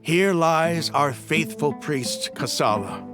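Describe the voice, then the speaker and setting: A man's voice: fairly high pitch, lively intonation, takes it slowly. A man playing a loyal, respectful desert mercenary in a video game, solemn and sincere. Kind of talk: video game dialogue acting. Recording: guitar game music behind voice.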